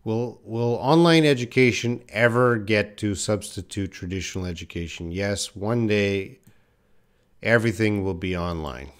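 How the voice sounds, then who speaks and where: A man's voice: on the low side, unhurried, clear, resonant tone. A man, an English teacher, chatting on a live-stream class and answering viewers' comments as he reads them. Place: in Korea.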